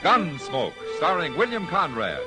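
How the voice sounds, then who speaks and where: A man's voice: on the high side, wildly swooping pitch, unhurried. A man announcing dramatically in the style of old-time radio. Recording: vintage radio, loud music behind. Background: loud music.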